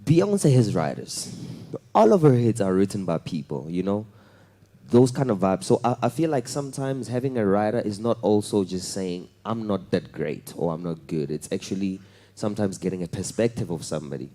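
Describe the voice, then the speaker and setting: A man's voice: on the low side, animated, moderate pace, warm tone. A man, a musician on a masterclass panel, talking casually with playful slang. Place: recording studio.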